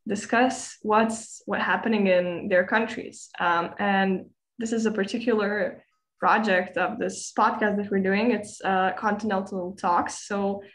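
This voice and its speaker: Casting: teenage woman host from Lithuania, friendly and hesitant, mid-range voice, video call audio. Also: mid-range voice. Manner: friendly, hesitant with many ums. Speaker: podcast host. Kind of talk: casual online group chat.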